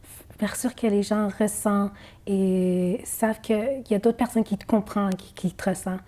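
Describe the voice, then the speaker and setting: A woman's voice: mid-range voice, fairly even intonation, normal speed, mellow. A woman singer whose first language is Arabic, answering interview questions in a hesitant way, with many fillers and restarts.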